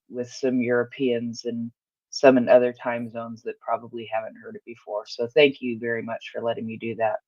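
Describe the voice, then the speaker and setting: Host guy, flat monotone, keeps restarting, muffled dry audio. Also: medium pitch, no room sound. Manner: halting, repeats words, many fillers. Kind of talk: casual chat on a live audio space.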